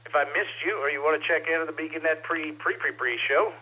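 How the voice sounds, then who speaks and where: A man's voice: a little high, steady tone, quick pace, husky, phone-quality sound. A man running a ham radio net, taking check-ins and reading call signs in a friendly, casual way. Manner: friendly, calls people brother. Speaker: net control operator. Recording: radio transmission.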